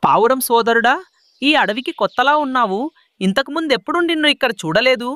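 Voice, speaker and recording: A man's voice: extremely high pitch, lively intonation, a little fast, sharp tone, no echo, full character voice. A man playing a sly, greedy crow landlord who wheedles and bosses in a children's cartoon. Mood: wheedling. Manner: scheming, wheedling, bossy.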